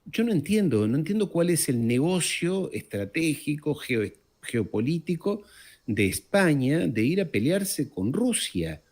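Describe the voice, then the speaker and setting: A man's voice: on the high side, very animated, measured pace, a little mellow, husky. A middle-aged man from Uruguay and France giving conversational political commentary as an interview guest, stressing words emphatically and repeating them. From born in Uruguay, raised in France.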